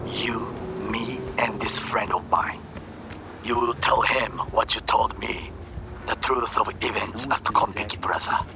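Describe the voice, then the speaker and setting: Low, grave Japanese-accented warrior. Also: male voice, creaky, noisy background. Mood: grave, earnest. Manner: formal, deliberate, grave. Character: grave, honourable Japanese man, loyal corporate warrior. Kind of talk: video game voice acting. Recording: music behind the dialogue.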